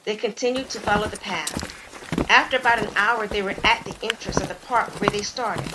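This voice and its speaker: A woman's voice: mid-range voice, fairly even intonation, fairly quick, very noisy. A woman reading scripted story narration as a storyteller.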